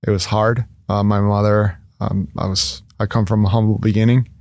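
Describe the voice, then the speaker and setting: A man's voice: fairly low pitch, level tone, normal speed, darkish tone, no room sound, studio quality. A man in his thirties from Ecuador, a guest in a casual interview, talking earnestly with many fillers and restarts. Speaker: guest, entrepreneur.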